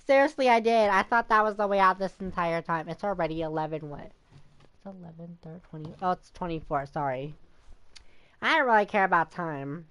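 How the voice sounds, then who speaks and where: A woman's voice: lowish voice, fairly bright tone. A woman giving casual, chatty, informal commentary while playing a video game. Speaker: game streamer. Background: game music.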